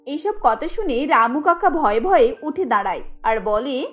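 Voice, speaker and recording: Lady narrating a cartoon tale, high voice, scripted, soft music under it. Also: expressive, moderate pace. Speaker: story narrator.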